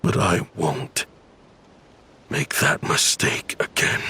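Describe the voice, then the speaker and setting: An actor playing a grim, weary, remorseful guardian in a video game, speaking in a halting, brooding way. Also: male voice, bright, forceful tone. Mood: remorseful, grave. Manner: slow, halting, brooding delivery. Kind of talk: dramatic video game voice acting.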